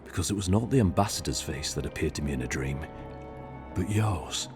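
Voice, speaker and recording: A very low-pitched male assassin from a video game, devout and repentant. He speaks like a grave orator, earnest and formal, with music clearly playing behind him. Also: expressive, a little fast. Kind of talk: dramatic video game dialogue.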